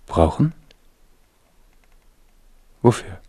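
A man's voice: drawn-out words, mellow, dry recording. An actor playing a captive young king in a radio play, wary and ardent, speaking in intense questions and pleas. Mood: wary, tender. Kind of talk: 1970s German radio play acting.

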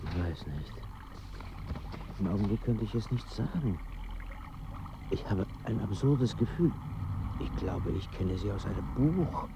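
An actor in a German radio drama playing a confused, fussy middle-class intellectual, with a pedantic, hesitant and formal manner. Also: male voice, fairly low pitch, expressive, unhurried, long pauses. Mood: bewildered, searching. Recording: dull sound with faint ambient noise.

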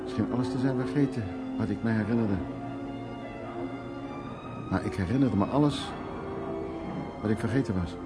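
A man's voice: on the low side, moderate pace, pauses often, over loud music, close to an everyday voice. An actor playing a troubled, wry and anxious man in a radio drama, musing aloud in hesitant, broken phrases. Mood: musing recollection. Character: troubled man named Louis, recounting past, anxious, wry. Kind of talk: radio drama acting, intimate storytelling.

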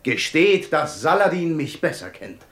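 An actor playing a proud, blunt, jocular dervish in a 1950s German radio play, bantering in a declamatory way. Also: male voice, fairly high pitch, big pitch swings, a little slow, fairly bright tone. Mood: bantering, boastful. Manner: bantering, declamatory, rhetorical questions. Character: proud, jocular dervish turned royal treasurer, male, blunt. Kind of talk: 1950s German radio play acting, classical verse drama. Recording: 1950s radio drama recording.

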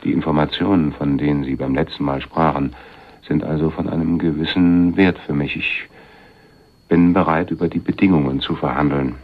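An actor playing a shrewd, wealthy, condescending power broker in a German crime radio play, speaking in a suave, measured way. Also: male voice, rumbling low, fairly even intonation. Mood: hard bargaining. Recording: muffled 1980s radio drama recording.

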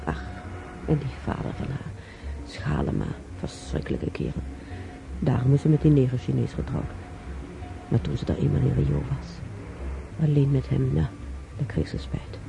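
An actress playing an elderly bedridden widow in a radio drama, musing haltingly and wistfully over her memories. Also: extremely low pitch, expressive, gravelly, music in the background, exaggerated voice, low-quality recording. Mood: musing, nostalgic. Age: older. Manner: halting, musing, with sighs and whispers.

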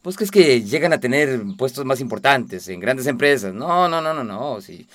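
A young man with a Central American accent playing a caring, gently sceptical friend in a radio drama. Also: mid-range voice, sing-song, moderate pace, no room sound, clean studio sound.

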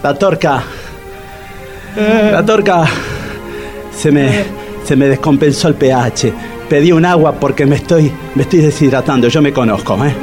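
A man's voice: fairly high pitch, a little slow, long pauses, over music, lightly acted. An actor playing a wisecracking, nervous Argentine man on a date in a comic radio drama, wry and slangy. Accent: Argentine Rioplatense. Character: wisecracking, nervous male bar-date buddy.

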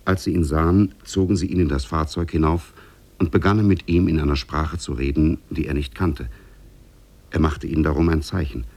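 A man narrating a tale in a measured, formal manner for a 1950s German radio drama. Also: a bass voice, fairly even intonation, a little mellow.

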